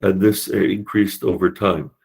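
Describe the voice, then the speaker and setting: Male lecturer, gravelly and low, teaches a class and quizzes students with rhetorical questions, hesitant. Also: steady tone, moderate pace, darkish tone. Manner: explains with rhetorical questions, frequent hesitant fillers.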